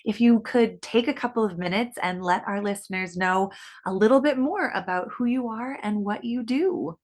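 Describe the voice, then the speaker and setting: Bright-voiced woman hosting a podcast. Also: a little high, moderate pace. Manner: upbeat and encouraging, laughs easily. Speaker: podcast host.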